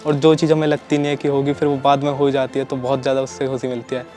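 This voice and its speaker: A man's voice: medium pitch, monotone, quick pace. A young man from Faridabad, a student, telling his story plainly in an interview. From Faridabad, Haryana. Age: young adult. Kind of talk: casual interview. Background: soft music.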